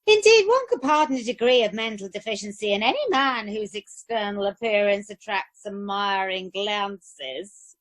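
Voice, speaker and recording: A woman's voice: mid-range voice, lively intonation, moderate pace, dry recording, lightly acted. A woman playing a haughty, gossiping upper-class Edwardian lady in an amateur radio play, with an arch, formal, theatrical manner. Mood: disdainful.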